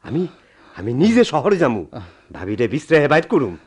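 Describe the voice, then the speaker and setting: A man's voice: mid-range voice, huge pitch swings, moderate pace, a little mellow, husky. A man playing a loyal, worried villager in a radio drama, pleading urgently in a rural Bangladeshi dialect. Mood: alarmed, pleading. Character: loyal, alarmed village man, earnest helper.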